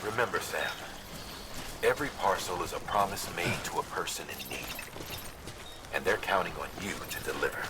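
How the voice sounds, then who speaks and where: A man playing a steady, encouraging logistics handler who gives measured, instructive briefings to a courier in a video game. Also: sits low, very noisy, close to an everyday voice. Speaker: mission dispatcher.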